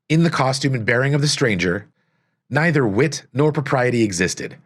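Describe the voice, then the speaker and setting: A man reading a gothic story aloud as scripted narration, with solemn, dramatic, deliberate phrasing. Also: lowish voice. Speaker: audiobook narrator.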